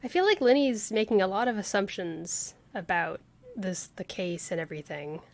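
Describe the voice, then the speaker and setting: A woman's voice: medium pitch, normal speed. A woman livestreamer giving casual live commentary on a game, thinking aloud in a musing way. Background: game music.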